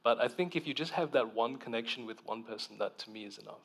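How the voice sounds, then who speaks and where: A man's voice: mid-range voice, fast, creaky, a bit bright, slightly rough. A man speaking as an author on a festival panel, thoughtful and reflective, with frequent ums and restarts.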